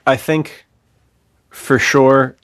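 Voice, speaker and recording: A man hosting a podcast in a casual, excitable chat full of laid-back bro slang. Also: mid-range voice, very slow, no room sound, studio quality. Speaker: podcast host.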